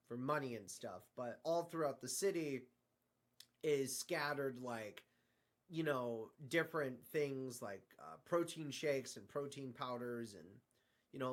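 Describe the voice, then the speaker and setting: Guy w normal pitched voice talking slow n casual, keeps pausing to find words, like hes making up the story as he goes. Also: fairly bright tone. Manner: halting, searching for words. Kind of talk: improvised character dialogue, casual storytelling.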